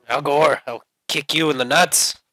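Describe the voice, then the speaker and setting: A man's voice: a little high, fairly even intonation, takes it slowly, gravelly, bright, forceful tone, faint music behind. A man giving casual, sweary gameplay commentary, mostly talking to himself as host. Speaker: let's play host.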